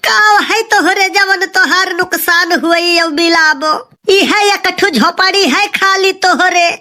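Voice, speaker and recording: A woman voicing a sharp-tongued, argumentative village mother and wife in a comic cartoon, scolding in an Awadhi accent. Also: very high-pitched, slow pace, exaggerated voice.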